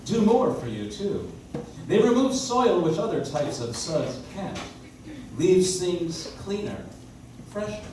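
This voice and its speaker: Animated old-time radio announcer played by a man. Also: high-pitched, slow pace, heavily acted. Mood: selling. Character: genial radio announcer selling soap flakes. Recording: echoey, distant, noisy audience-member recording.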